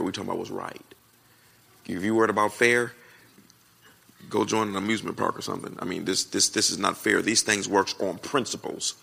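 Loud american guy preacher doing q&a, real gravelly and punchy, folksy, says stuff twice to drive it home. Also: low-pitched. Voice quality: naturally loud voice. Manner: folksy, emphatic, repeats phrases for effect. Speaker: preacher answering questions at a marriage conference. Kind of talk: conversational sermon with question and answer.

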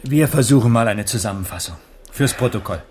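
A man's voice: medium pitch, expressive, fairly quick, a little mellow, lightly acted. An actor playing a sober traffic policeman in a radio crime drama, formal, precise and matter-of-fact. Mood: businesslike. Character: sober, methodical male traffic policeman taking a statement. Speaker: police officer taking a report. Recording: noisy 1980s radio play recording.

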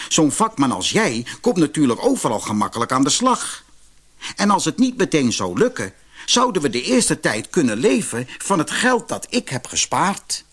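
A man's voice: fairly high pitch, huge pitch swings, normal speed, a bit bright, slightly rough, exaggerated voice. A man voicing a trusting, hardworking barber in a storybook, speaking cautiously and earnestly. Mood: cautiously hopeful. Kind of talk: storybook character voice.

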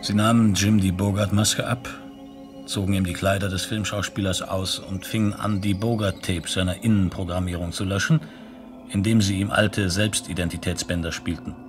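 An actor playing a detached narrator of a science fiction radio play, telling it in a factual, report-like manner. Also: male voice, low voice, steady tone, moderate pace, natural voice. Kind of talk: scripted narration, 1970s German radio drama. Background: electronic music.

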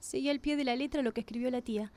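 An actress playing an earnest, polite, hesitant young niece in a radio drama. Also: female voice, high-pitched, very fast, dark tone, close to an everyday voice. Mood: polite, uneasy.

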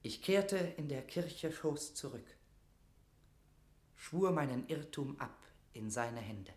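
A young nobleman burning with religious zeal and devotion to his queen. His mid-pitched voice declaims stage verse solemnly and passionately. The room around it echoes clearly, as in a radio play. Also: expressive, takes it slowly, darkish tone. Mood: exalted. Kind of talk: classic German verse drama, declaimed radio acting.

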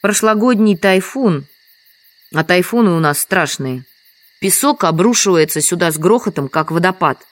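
An actress as a low-voiced, resigned village widow. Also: female voice, animated, normal speed, close to an everyday voice. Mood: resigned, sorrowful. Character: lonely village widow living among the sands, resigned. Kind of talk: Russian audio drama acting.

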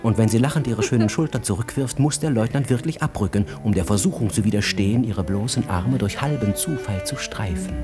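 An actor as narrator of a German radio drama, telling the story in a measured, intimate, expressive literary style. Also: male voice, fairly low pitch, music in the background, lightly acted. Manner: measured, expressive, savouring details.

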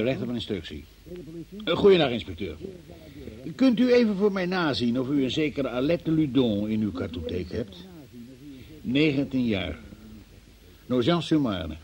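An actor playing a stern, sarcastic examining magistrate in a radio play, brusque and probing in manner. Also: male voice, medium pitch, big pitch swings, moderate pace, long pauses, darkish tone, close to an everyday voice. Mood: brisk, businesslike. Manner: brusque, sardonic, interrogating. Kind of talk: Dutch-language radio play acting.

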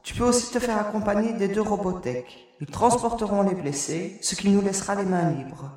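A woman playing a determined space explorer leading a rescue, giving calm, commanding orders in an echoey hall. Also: mid-range voice, moderate pace, natural voice. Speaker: audio drama character. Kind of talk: audio drama acting.

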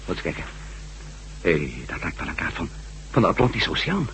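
An actor playing an uneasy adventuring former astronaut and reporter in a Dutch radio drama, musing and repeating himself hesitantly. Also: male voice, sits low, big pitch swings, a little fast, gravelly, fairly bright tone, heavily acted. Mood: intrigued. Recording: noisy old radio drama recording.

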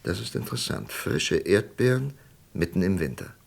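A shrewd male investigator in a radio crime drama. His lowish voice swings expressively and has a gravelly creak. He probes patiently, pausing to think. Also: big pitch swings, heavily acted. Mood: probing, intrigued. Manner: deliberate, coaxing questioning with thoughtful pauses.

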